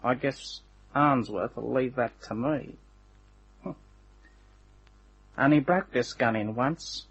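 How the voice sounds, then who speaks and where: An actor playing a menacing, smug working-class hired gunman in a radio crime drama, with slangy, taunting, unhurried talk. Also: medium pitch, breathy edge. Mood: cold menace. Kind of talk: old-time radio crime drama acting. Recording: dull, band-limited old radio recording.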